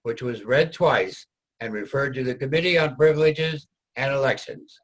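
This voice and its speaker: A man with a slightly husky voice narrating a scripted readers' theater piece. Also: mid-range voice, moderate pace, poor audio.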